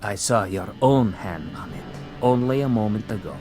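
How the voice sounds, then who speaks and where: An actor playing a calm, accusing former prisoner in a vintage television drama, measured and insinuating, with mocking politeness. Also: male voice, fairly low pitch, over music, close to an everyday voice. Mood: quiet menace.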